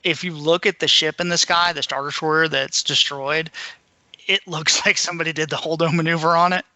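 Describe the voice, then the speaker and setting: Guy on a podcast just chatting, really bright forceful voice, dry sound, kinda thinks out loud. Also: fairly high pitch, steady tone, brisk, dry recording. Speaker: podcast co-host. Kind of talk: casual chat.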